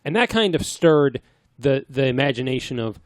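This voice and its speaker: A man's voice: mid-range voice, sing-song, moderate pace, dry recording, clean studio sound. A man co-hosting a casual podcast chat, joking around and rambling through his stories. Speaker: podcast co-host. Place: small home studio room.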